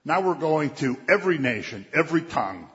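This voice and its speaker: A man's voice: on the high side, very animated, brisk, fairly bright tone. Man preaching in an echoing hall. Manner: conversational preaching, quotes scripture, acts out voices. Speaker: pastor. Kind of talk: sermon. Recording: dull, muffled sound with long hall reverb.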